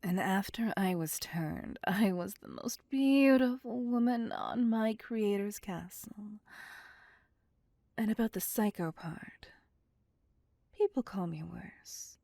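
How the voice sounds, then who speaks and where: A woman voicing a possessive, vain vampire mistress in an ASMR roleplay, breathy and often whispering, with condescending endearments. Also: mid-range voice, warm tone, dry recording, studio quality. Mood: haughty. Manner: slow, deliberate, condescending endearments. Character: possessive, vain female vampire, commanding and seductive. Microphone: close, dry microphone.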